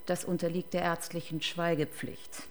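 An actress playing a cold, defiant clinic doctor under interrogation in a German radio crime drama, formal and indignant. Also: female voice, lowish voice, flat delivery, normal speed, slight background noise, lightly acted. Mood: defensive, cool. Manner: formal, clipped, indignant, evasive.

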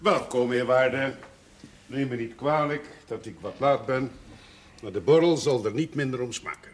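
An actor playing a genial village gentleman and father in a television drama, on an old soundtrack. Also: medium pitch, normal speed, a little hiss or hum, close to an everyday voice. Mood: hospitable. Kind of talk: 1970s Dutch television drama acting.

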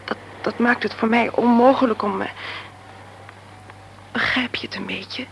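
A soft, hesitant young woman in a radio play, talking in a breathy half-whisper. Her voice sits a touch high, and a faint hiss and room tone linger behind her. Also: fairly even intonation, unhurried. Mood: regretful. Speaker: young woman ending a romance. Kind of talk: radio drama acting.

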